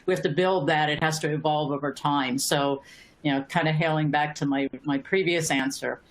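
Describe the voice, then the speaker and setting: A woman with a notably low voice speaks as a panelist in an online discussion. She talks conversationally, repeating words as she gathers her thoughts and checking in with "right?". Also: fairly even intonation, moderate pace, low-quality recording.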